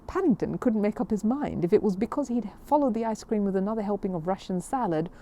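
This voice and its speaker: A woman reading a children's story aloud in an expressive, animated storytelling manner. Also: medium pitch, fast.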